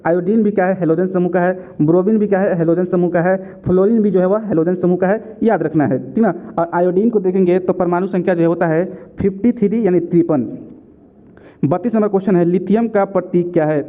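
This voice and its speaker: A man's voice: sits high, fairly even intonation, brisk. A man teaching exam questions and answers in a didactic way, repeating key points as reminders. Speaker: exam-prep chemistry teacher. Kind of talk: question-and-answer lesson narration. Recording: narrow, tinny phone-like sound.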